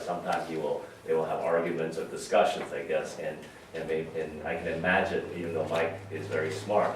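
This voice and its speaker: A low-voiced man gives an informal funeral message as a pastor. He speaks haltingly and reflectively. The sound is very echoey and distant, with faint noise behind him. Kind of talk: conversational memorial sermon. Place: large reverberant room at a memorial service.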